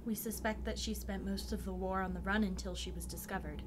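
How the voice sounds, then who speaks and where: A woman's voice: mid-range voice, fairly even intonation, brisk, natural voice. A woman as a careful ward caregiver, faint noise. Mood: careful, protective. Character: protective, careful female caregiver at a psychiatric ward. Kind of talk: radio drama acting. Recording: audible background noise.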